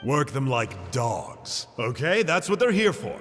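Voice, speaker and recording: An actor playing a sly, glib stranger in a video game, teasing in a drawl. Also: male voice, medium pitch, very animated, measured pace, fairly bright tone, big-room sound, soft background music. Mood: breezy, sly.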